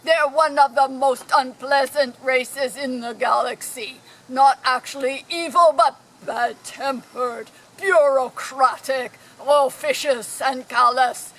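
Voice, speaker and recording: A woman playing a talking electronic guidebook in a radio play, narrating in a droll, deliberate and informative way. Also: sits high, steady tone, a bit bright, heavily acted, rough recording.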